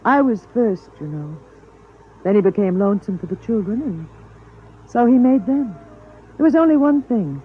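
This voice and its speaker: A woman acting in a 1950s radio drama as a serene, wistful artificial wife, measured and breathy, on a thin old recording. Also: mid-range voice, expressive, phone-quality sound. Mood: wistful, composed.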